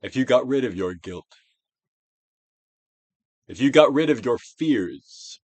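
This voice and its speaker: Male narrator, theatrical self-help audiobook, mid-pitched, highly animated intonation, emphatic swells and hushed drops, stage-actor delivery. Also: no echo. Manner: emphatic, drawn-out phrases with big dynamic swells and hushed drops. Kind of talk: theatrical dramatic audiobook reading.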